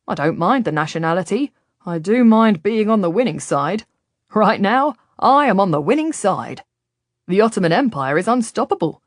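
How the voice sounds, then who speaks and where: A woman's voice: mid-range voice, no room sound, studio quality, natural voice. A woman voicing a cheerful, boastful male privateer captain who sells his sword, in an audiobook story. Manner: cheerful, brash, unashamed. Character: cheerful, boastful English privateer mercenary, a man.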